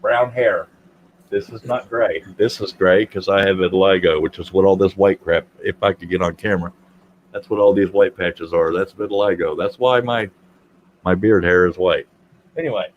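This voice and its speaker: Man, 50s, low-pitched, self-deprecating livestream host; faint background hum. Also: normal speed, lo-fi sound. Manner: joking, self-deprecating, rambling. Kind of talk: casual chat.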